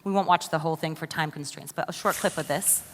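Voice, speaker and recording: A woman's voice: low-pitched, fast, a little hiss or hum. An American woman giving a conference keynote, enthusiastic and asking the audience questions directly. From United States. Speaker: conference speaker. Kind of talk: keynote presentation. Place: large conference hall. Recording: long hall reverb.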